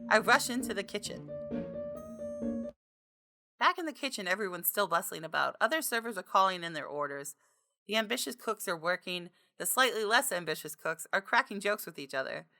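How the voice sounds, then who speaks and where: A woman gamer narrates a story game with a lively, swinging pitch. She reads theatrically and drops into casual asides, with music clearly playing behind her. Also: brisk. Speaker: gaming video host reading a visual novel aloud.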